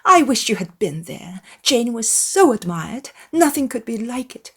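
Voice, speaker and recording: An excitable, gossipy middle-aged wife and mother in a period audiobook, as a woman acts her out. She gushes emphatically in long run-on chatter, with her pitch lifting and swooping. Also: a little slow, husky, exaggerated voice. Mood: gushing delight.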